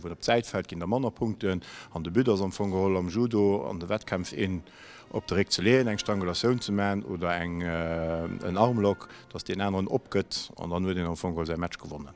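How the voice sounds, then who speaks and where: A low-voiced man explains how judo works in an interview. He talks as a coach, stopping often with fillers as he hunts for words. Soft music plays faintly underneath. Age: 50s. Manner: hesitant, pauses with fillers while explaining.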